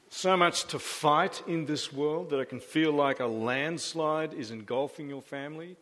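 A man's voice: on the high side, a bit bright. A man in his fifties leading a church service, joking and conversational as he interviews a couple. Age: 50s. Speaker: pastor. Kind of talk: sermon with live interview. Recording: echoey church hall.

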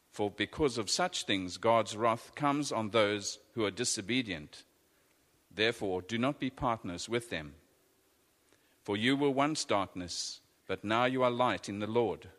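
Male scripture reader, lowish voice, deliberate delivery, long church reverb. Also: a bit bright. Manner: measured, deliberate reading. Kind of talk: scripture reading. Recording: echoey church hall.

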